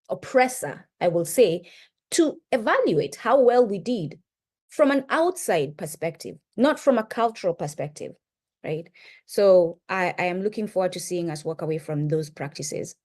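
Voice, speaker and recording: A middle-aged Kenyan woman with a Kenyan accent, co-hosting and giving an impassioned lecture-style talk, with emphatic rises and repeated checks of "right?". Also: darkish tone. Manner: emphatic, rising pitch on key points, frequent "right?". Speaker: podcast co-host presenting.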